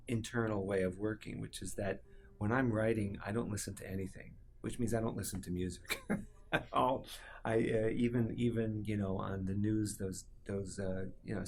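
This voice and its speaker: A man who is a composer reflecting candidly in an interview, thinking aloud in an animated, halting way. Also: lowish voice, a little hiss or hum.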